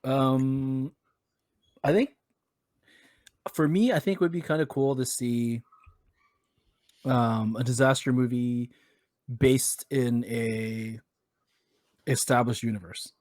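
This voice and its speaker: A man co-hosting a podcast, talking hesitantly with many pauses and fillers. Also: mid-range voice, fairly even intonation, darkish tone, dry recording, studio quality. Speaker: podcast co-host. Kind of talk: casual chat.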